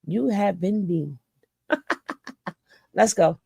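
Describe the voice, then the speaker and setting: A woman's voice: mid-range voice, animated, drawn-out words, gravelly, mellow, husky, dry recording. A woman giving casual reaction commentary, stuffy from a cold, mocking and teasing in slangy talk. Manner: mocking, laughing, slangy, sing-song teasing.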